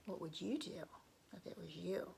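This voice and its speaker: A woman telling a story to young children in an expressive read-aloud style, often dropping into hushed asides to her listeners. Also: fairly low pitch, normal speed, vocal fry, slight background noise.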